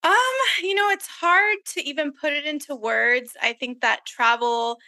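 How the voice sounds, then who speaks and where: A woman's voice: high voice, lively intonation, unhurried, sharp tone, no echo. An American woman talking casually as a podcast co-host. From Miami, USA.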